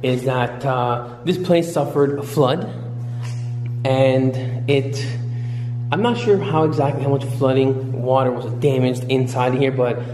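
A man's voice: medium pitch, measured pace, over music. A man in his thirties from Canada, casually narrating his walk through an abandoned building to his viewers, rambling and chatty on a handheld camera mic. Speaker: urban explorer vlogger. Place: large empty abandoned building indoors. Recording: echoey empty room with faint hum.

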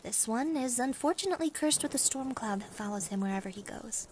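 A woman's voice: a little high, expressive, a little fast, slight background noise, natural voice. A woman playing a composed angel guide in an audio drama, explaining things in a matter-of-fact way. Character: composed female angel guide, mildly teasing.

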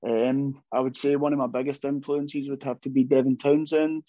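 A man's voice: medium pitch, level tone, moderate pace, mellow, dry recording. A young man speaks from a room at home over a video call, talking loosely with lots of restarts and shrugging asides. The audio is dry and somewhat muffled, with a mid-range voice. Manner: rambling, self-deprecating, many restarts. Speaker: metal band vocalist. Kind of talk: casual interview chat.

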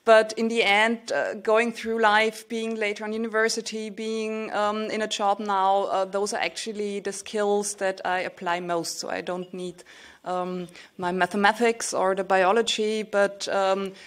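A woman in her thirties answers as a panelist at a conference, speaking into a desk microphone. She is reflective and pauses on "um"s. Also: medium pitch, little pitch movement. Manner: reflective, with fillers. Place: large conference hall.

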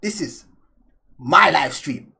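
A man's voice: a little high, animated, extremely slow, gravelly, sharp tone, breathy edge. Laid-back Danish-born man reacting. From Denmark. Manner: laid-back, joking, slangy. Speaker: reaction video host. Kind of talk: casual reaction commentary. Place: small room indoors.

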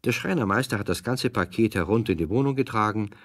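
A man's voice: on the low side, fast, no echo, clean studio sound. A man narrating a scripted children's story as the narrator of a radio play.

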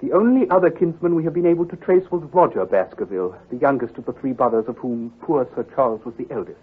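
An actor playing an earnest, troubled country doctor who speaks gravely and precisely, with some hesitation, in old-time radio drama style. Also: male voice, medium pitch, expressive, quick pace. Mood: worried. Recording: muffled, husky old radio recording.

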